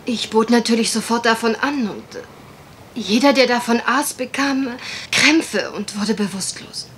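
A woman's voice: a little high, moderate pace, a bit bright, slight background noise, lightly acted. A woman acting a genteel, worried young country heiress in a German radio mystery play, polite, well-spoken and a little hesitant. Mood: worried, confiding.

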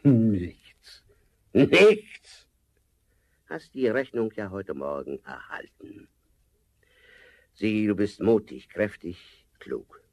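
An actor playing a sly, domineering forest giant who slowly coaxes and sneers in a fairy-tale radio play. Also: male voice, on the low side, big pitch swings, creaky, full character voice. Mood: sly persuasion. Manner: slow, coaxing, sneering laughter.

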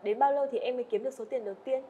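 A woman's voice: a little high, rapid-fire, phone-quality sound, a little hiss or hum. A young woman hosting a podcast, asking questions and giving short "ừ" acknowledgements. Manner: warm-up questions, frequent "ừ" backchannels. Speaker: podcast host. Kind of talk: podcast interview. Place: indoor studio set.

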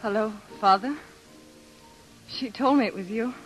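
A woman's voice: fairly high pitch, a little slow, fairly bright tone, soft background music, rough recording. Weary, hard-bitten young woman. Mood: tired, guarded. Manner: slangy, blunt, sardonic. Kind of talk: 1930s radio acting. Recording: vintage 1930s radio broadcast.

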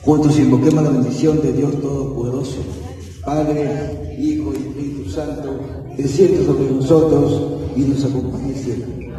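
Older priest reciting a solemn mass. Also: male voice, mid-range voice, steady tone, unhurried, dark tone. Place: large tented outdoor patio. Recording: roomy, distant camera audio.

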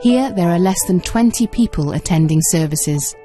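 A woman's voice: fairly low pitch, animated, moderate pace, warm tone, faint music behind. A woman reading scripted narration for a television documentary.

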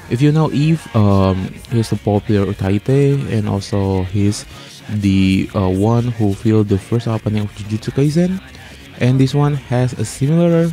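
A man hosting a countdown review as a voice-over, speaking haltingly and stretching key words. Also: on the low side, dark tone. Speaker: host of an anime music ranking channel. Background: music playing under the voice.

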